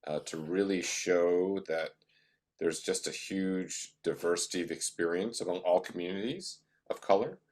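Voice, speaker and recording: A man in his fifties with a low, deep voice talks things through on a video call. He speaks with measured thought and often leans on "you know" as he works out his points. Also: a little mellow. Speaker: panelist, professor and physician. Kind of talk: video panel discussion.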